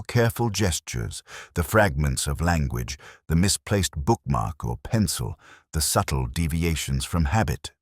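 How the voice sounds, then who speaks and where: A man reading a scripted first-person detective story in a measured, reflective, literary manner. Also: sits low, no room sound, studio quality. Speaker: audiobook-style story narrator.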